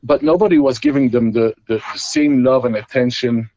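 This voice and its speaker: A man's voice: mid-range voice, fairly quick, a little mellow, slight background noise, low-quality recording. A middle-aged man from Belgium, a guest explaining his custom Jeep builds in casual talk, with many fillers and restarts.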